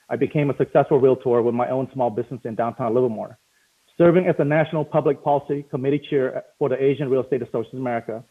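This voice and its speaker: A man in his forties reads an earnest candidate statement at a brisk clip. His voice comes through thin and tinny, like a phone line, from a home office on a video call. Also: mid-range voice. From Cambodia. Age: 40s. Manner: earnest, reads steadily with brief fillers. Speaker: mayoral candidate. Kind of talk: prepared opening statement.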